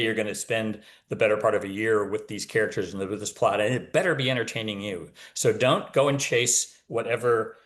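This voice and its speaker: A man's voice: lowish voice, a bit bright. A man, an author guesting on a podcast, giving advice conversationally in emphatic short phrases.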